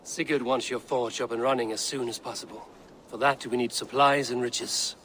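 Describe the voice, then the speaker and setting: A man's voice: mid-range voice, steady tone, moderate pace, fairly bright tone, a little hiss or hum, close to an everyday voice. A man playing a resolute, businesslike Viking raider in a video game, plain and purposeful in delivery. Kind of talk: dramatic video game acting. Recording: music behind the voice. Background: music.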